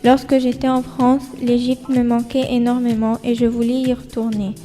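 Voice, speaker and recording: A woman from Egypt, a student guest, reading a prepared personal account carefully and in a measured way. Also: fairly high pitch, level tone, mellow, soft background music.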